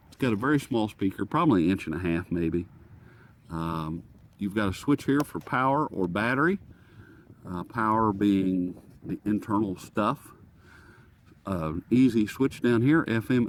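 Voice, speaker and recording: A man giving a casual, rambling gadget review outdoors, folksy and self-deprecating, easily sidetracked. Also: fairly low pitch. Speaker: product reviewer presenting to camera. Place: outdoors on a breezy day. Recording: breezy outdoor recording with wind noise. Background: light wind noise.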